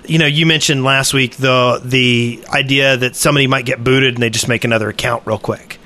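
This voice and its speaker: A man's voice: medium pitch, fairly even intonation, a little fast, sharp tone. A man co-hosting a casual gaming podcast, talking in a hesitant, self-questioning way. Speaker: podcast co-host.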